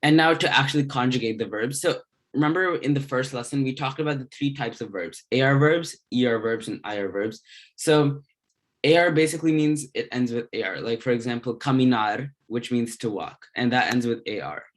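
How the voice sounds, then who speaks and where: A man giving a slide-based language lesson as a tutor, explaining in short, halting phrases with pauses. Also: mid-range voice. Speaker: Spanish grammar tutor.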